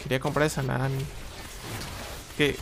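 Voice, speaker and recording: A young man, chatting casually while playing a strategy game, reading chat and breaking into loud exclaims. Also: medium pitch, flat delivery, moderate pace, noisy background. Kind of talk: casual live gameplay commentary. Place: small room at home. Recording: game music behind the voice.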